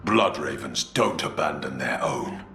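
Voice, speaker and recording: A man's voice: a bass voice, expressive, a little slow, creaky, bright, forceful tone, heavily acted. An actor playing a dutiful, resolute male commander of space warriors in a video game, speaking solemnly and formally. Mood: solemn, resolved. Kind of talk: video game cutscene acting. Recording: echoey, faint music behind.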